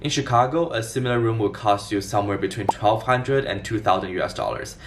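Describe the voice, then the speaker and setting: Young male vlogger, twenties, fast and organized explainer read, recorded at a distance from the mic. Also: fairly low pitch, animated, noisy background. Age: 20s. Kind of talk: scripted explainer vlog to camera.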